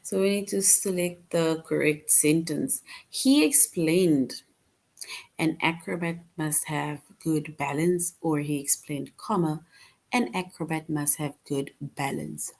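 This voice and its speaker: A woman teaching a children's grammar lesson online, reading out answer choices slowly and patiently. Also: low-pitched, gravelly, darkish tone. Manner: slow, patient, reads answer options aloud.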